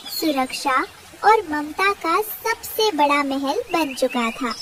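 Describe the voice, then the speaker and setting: Female cartoon story narrator, scripted delivery, extremely high, unhurried pace. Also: recorded from a distance.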